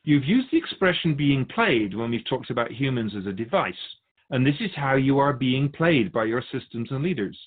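A man voicing a wise, patient spirit keeper of souls who teaches in a measured, philosophical way. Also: mid-range voice, narrow, tinny audio, natural voice.

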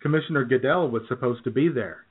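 A man's voice: medium pitch, fast, breathy edge. A man co-hosting a comedy podcast in dry, sarcastic casual chat, heard through a tinny, phone-like recording. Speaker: podcast co-host.

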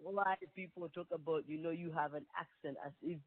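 A woman's voice: low voice, fairly even intonation, fairly quick, no echo. A woman from Kenya with a Kenyan accent, a performing artist talking earnestly in a phone interview, rambling with many restarts. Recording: tinny dry phone line.

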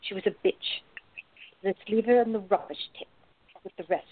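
A woman playing a protective, worldly lover with bitterness about an old flame, speaking deliberately and emphatically in a radio play. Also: medium pitch, fairly even intonation, no room sound, lightly acted. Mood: bitter, resolute. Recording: dry, narrow phone-like lo-fi sound.

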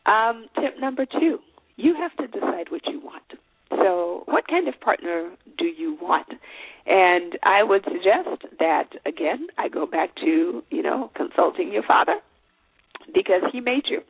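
A woman's voice: medium pitch, animated, measured pace, breathy edge, no room sound. A woman from Zimbabwe hosting a show, giving dating advice in an earnest, conversational way with many fillers. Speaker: show host. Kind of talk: solo advice talk. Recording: phone-like line with faint noise.

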